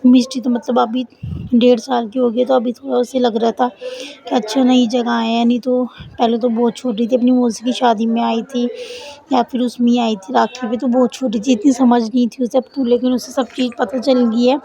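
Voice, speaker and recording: Hoarse young woman narrating her vlog. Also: on the high side, level tone, moderate pace, dark tone, poor audio. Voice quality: husky, cracked voice. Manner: chatty, storytelling to viewers. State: hoarse, sore throat. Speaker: vlogger. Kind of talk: casual travel vlog voice-over. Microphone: voice-over recorded separately.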